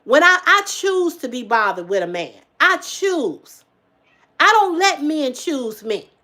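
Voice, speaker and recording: A woman in her fifties talks straight to her livestream viewers in a casual, emphatic way. Her voice sits high, and she repeats phrases for punch and swears without holding back. She swings from loud outbursts to quiet asides. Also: very animated, slow pace. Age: 50s. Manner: emphatic, repetitive, swears freely; swings between loud exclamations and low asides. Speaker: livestream host.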